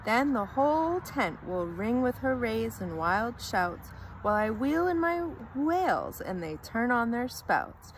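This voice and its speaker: A woman's voice: on the high side, big pitch swings, measured pace, a little hiss or hum, poor audio. A woman reading a rhyming children's story aloud with theatrical, dramatic emphasis.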